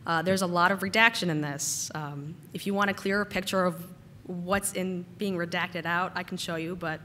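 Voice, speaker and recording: A woman giving a casual technical conference talk, slightly hoarse from a cold and using lots of "uh" and "um" fillers. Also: fairly low pitch, fairly even intonation, moderate pace, pushed and bright, slight background noise. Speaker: conference speaker. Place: conference hall.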